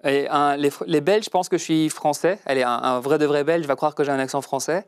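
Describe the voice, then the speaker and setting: A man's voice: a little high, fairly even intonation, a little fast, clean tone, dry recording, clean studio sound. A man from Belgium with a Brussels accent, a guest chatting easily with lots of hesitant fillers. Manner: easygoing, hesitant fillers, laughs often. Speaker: guest, DJ and producer. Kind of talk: casual chat.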